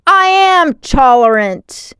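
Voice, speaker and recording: Woman acting a loud, intimidating alien warlord. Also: sits high, expressive, no room sound, studio quality, pitched above the performer's own voice, heavily acted. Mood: furious. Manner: pompous, forceful, drawn-out proclamations. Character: armored male alien warlord, intimidating, bellowing, menacing.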